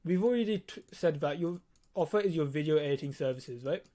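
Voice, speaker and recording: Teen guy w a lisp, bit high pitched, explaining stuff casually, blunt. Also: animated, brisk, dark tone. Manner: blunt, casual, swearing, emphatic repetition. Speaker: video editor teaching on own channel. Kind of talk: informal explainer to viewers.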